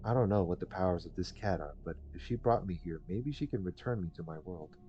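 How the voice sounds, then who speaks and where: A man's voice: deep voice, animated, a little fast, slight background noise. A man hosting a gaming video, reading visual novel text aloud and adding casual, rambling commentary. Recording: dull lo-fi mic under loud music. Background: game music.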